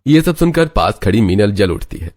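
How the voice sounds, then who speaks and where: A man's voice: fairly low pitch, big pitch swings, normal speed, darkish tone. A man reading scripted narration for a cartoon family story.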